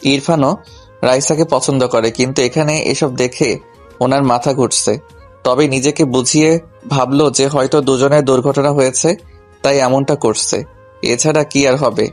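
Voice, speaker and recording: Casting: male storyteller, average pitch, colloquial and humorous first-person narration, music bed. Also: fairly even intonation, moderate pace. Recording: music behind the voice.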